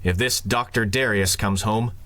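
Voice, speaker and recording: An actor playing a fair-minded, earnest police detective, plain-spoken and a little awkward, in a 1930s-style radio drama. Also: male voice, fairly low pitch, steady tone, moderate pace, background noise, close to an everyday voice. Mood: commanding.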